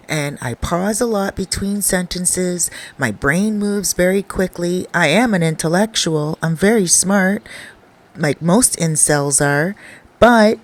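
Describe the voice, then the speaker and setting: A narrator reading a first-person confession as a shy, skinny young man who talks about his loneliness in a rambling, pausing way. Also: medium pitch.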